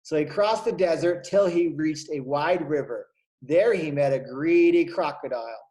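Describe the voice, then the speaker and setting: A man teaching kindergarten, reading a storybook aloud to young children and asking them questions in an animated, encouraging way. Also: a little high, normal speed, rough recording.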